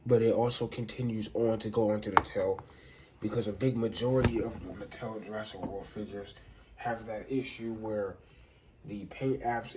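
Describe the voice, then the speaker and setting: Congested man with a low voice. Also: darkish tone, slight background noise, rough recording. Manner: rambling, halting, restarts sentences often. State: congested, stuffy nose. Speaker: toy reviewer. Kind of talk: casual unscripted review.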